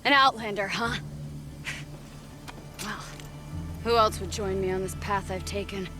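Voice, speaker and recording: Wry, defiant huntress, played by an actress over music. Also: female voice, medium pitch, steady tone, unhurried, close to an everyday voice. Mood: wry, determined. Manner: terse, wry. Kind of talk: video game cutscene acting.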